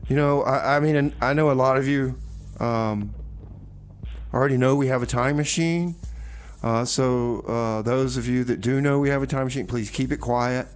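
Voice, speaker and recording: A man's voice: medium pitch, steady tone, moderate pace, clean tone. A man hosting a community radio show, talking casually and folksily, often hesitating and repeating words. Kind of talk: casual rambling on-air talk. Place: small radio studio. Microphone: studio microphone.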